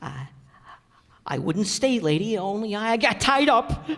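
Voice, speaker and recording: A man's voice: high voice, big pitch swings, a little slow, fairly bright tone, exaggerated voice. A man playing a blustering, cowardly, good-hearted working-class father in an old-time radio comedy, with folksy ungrammatical speech that stammers under fright. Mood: frightened. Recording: echoey hall stage recording.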